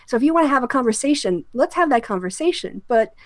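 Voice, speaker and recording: A woman's voice: high-pitched, lively intonation, fast talker, no room sound. Emphatic woman delivering critical commentary, dry sound. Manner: emphatic, critical, direct address. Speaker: host of a discussion show. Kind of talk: opinionated commentary, rant.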